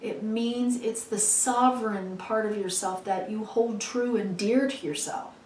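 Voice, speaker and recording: Hoarse, chatty woman reading tarot. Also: medium pitch, fairly even intonation, unhurried, darkish tone, slight background noise. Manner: chatty and encouraging, many "you know"s. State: losing her voice, slightly hoarse. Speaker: tarot reader. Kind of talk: weekly tarot reading to camera. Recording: echoey room, mic at a distance.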